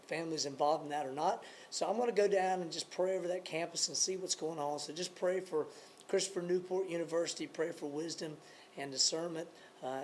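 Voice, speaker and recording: A raspy, hoarse man in his thirties speaks earnestly to camera, still recovering his voice after a long cold. His voice sits somewhat high, and he hesitates often with fillers. A faint hiss of noise runs under the recording. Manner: earnest, halting, many uh fillers. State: hoarse from a cold, voice partly gone. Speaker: campus church planter giving a supporter update. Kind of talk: casual video update.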